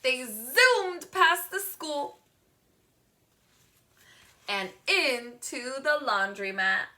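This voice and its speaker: High-pitched, animated woman reading to children. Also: drawn-out words, slight background noise. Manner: expressive, asks the listener questions, gasps, draws words out. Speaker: children's read-aloud teacher. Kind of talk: animated picture-book read-aloud for young children.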